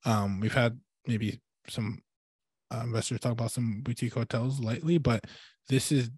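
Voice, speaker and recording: A man hosting a podcast interview in a casual, rambling way, thinking out loud with many fillers. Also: medium pitch, fairly even intonation, normal speed, slightly rough, dry recording. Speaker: podcast host.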